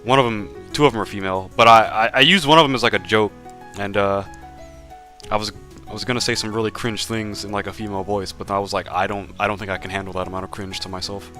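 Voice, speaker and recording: A man's voice: fairly low pitch, steady tone, quick pace, sharp tone, soft background music. A young man drawing live on stream, chatting casually with viewers, stammering and repeating words, laughing often.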